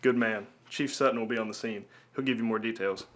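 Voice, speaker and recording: A man's voice: fairly low pitch, steady tone, a little fast, natural voice. A man playing an official, businesslike police commissioner in an amateur radio drama. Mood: formal, brisk. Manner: formal, businesslike.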